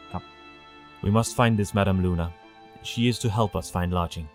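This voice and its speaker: A man's voice: low voice, slow, faint music behind. A man playing a humble, anxious middle-aged father pony in an audio drama, deferential and tender. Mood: tender, regretful. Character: humble, gentle, anxious father stallion violinist.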